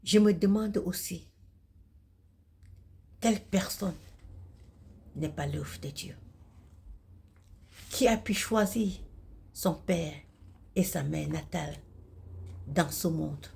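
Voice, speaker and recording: A Cameroonian woman with a husky, gravelly voice delivers a religious monologue in solemn, declamatory, halting phrases, with a Cameroonian French accent. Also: low-pitched, warm tone. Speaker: video host and self-described messenger of God.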